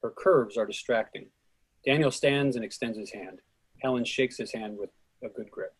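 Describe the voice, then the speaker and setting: Husky man reading stage directions. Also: mid-range voice, moderate pace, poor audio. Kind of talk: scripted narration at a readers theatre.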